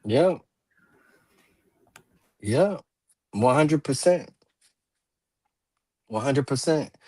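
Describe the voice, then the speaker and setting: A man in his forties hosting a roundtable talk show, speaking in a measured way with many fillers and restarts and the odd throat clear. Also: medium pitch, animated, darkish tone, no room sound. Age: 40s. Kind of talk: roundtable discussion.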